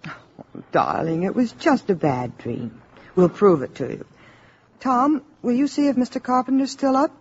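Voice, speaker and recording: An actress with an American accent playing a sensible, protective young mother in a 1950s radio drama, gently skeptical. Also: on the high side, expressive, normal speed, slightly rough. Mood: gently doubting. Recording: muffled old radio recording, busy background.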